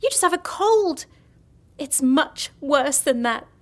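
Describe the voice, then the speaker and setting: A woman's voice: sits high, lively intonation, slow pace. An actress in an audio drama playing a morbid, guilt-ridden woman who frets and apologises with melodramatic self-pity. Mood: guilty panic. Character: morbid, guilt-ridden, fretful young woman convinced she causes death.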